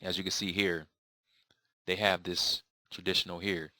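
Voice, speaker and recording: A man's voice: sits low, flat delivery, normal speed, bright, forceful tone, no room sound. A man answering viewer questions in a casual video lesson, rambling with many fillers and restarts. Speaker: language learning vlogger.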